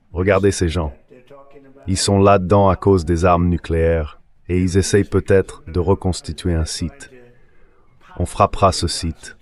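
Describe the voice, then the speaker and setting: Low-pitched synthetic male voice, blunt. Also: darkish tone. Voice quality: synthetic dubbed voice. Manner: blunt, repetitive. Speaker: politician answering questions. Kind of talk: off-the-cuff press remarks, translated voice-over.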